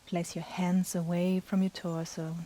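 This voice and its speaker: Lady doing guided yoga nidra, lowish voice, super slow, soft breathy and soothing. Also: little pitch movement. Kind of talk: guided meditation.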